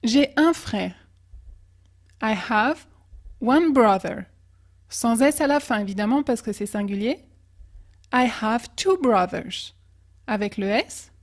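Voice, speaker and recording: High-voiced woman teaching English slowly. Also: lively intonation. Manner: slow, careful model pronunciation of example phrases. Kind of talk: language lesson with example phrases.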